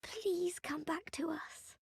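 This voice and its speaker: A woman's voice: very high voice, slow pace, gravelly, dry recording, clean studio sound, heavily acted. A woman voicing a small, sweet, devoted young female companion in a video game cutscene. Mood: pleading, tearful.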